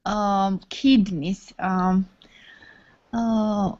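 A woman's voice: mid-range voice, steady tone, drawn-out words, darkish tone. A woman student in an online Spanish class, answering hesitantly with many fillers. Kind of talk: online class over video call. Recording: dull lo-fi video call audio.

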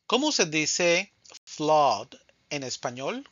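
A man teaching Spanish vocabulary in a scripted drill, speaking slowly and deliberately and saying each answer twice. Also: fairly high pitch, sing-song, fairly bright tone, no echo, highs cut off.

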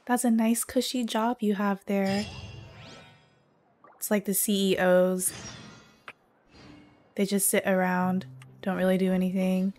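A woman streaming a game with casual, deadpan, mock-critical reactions in a slightly hoarse voice. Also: medium pitch, extremely slow, long pauses, soft background music.

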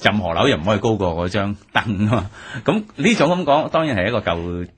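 A man's voice: lowish voice, expressive, fairly quick, slightly rough. A man with a Hong Kong Cantonese accent gives conversational commentary in a discussion.

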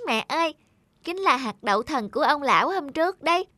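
A woman voicing a naive, eager little peasant boy in a children's cartoon, earnest and expressive. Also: high-pitched, brisk, no echo, clean studio sound. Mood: excited, eager.

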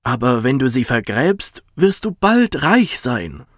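A coaxing old man from a storybook, put on by a narrator, a man. His pitch swings widely as he makes his offer, in a dry recording. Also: very animated, slow, dry recording.

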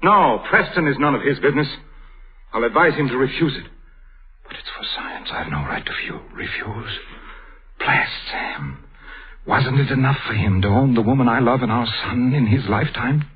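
An actor playing a proud, emotional middle-aged scientist in a vintage radio drama, theatrical and intense. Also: male voice, medium pitch, lively intonation, moderate pace, breathy edge. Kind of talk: 1930s radio acting. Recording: tinny old radio broadcast.

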